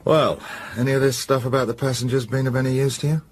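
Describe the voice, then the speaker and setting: An actor playing a blunt, jovial police detective in a radio drama, with an English accent and a breezy, teasing manner. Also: male voice, mid-range voice, fairly even intonation, fast talker, a little hiss or hum, lightly acted.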